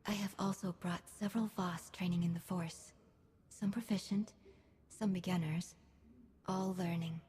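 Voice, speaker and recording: A solemn alien mystic woman, with a soft, dark and heavily husky voice. She speaks in clipped, stilted, serene statements of certainty, ringing in a long reverberant space. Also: on the low side, fairly even intonation. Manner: formal, clipped, measured, stilted phrasing. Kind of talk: scripted video game dialogue.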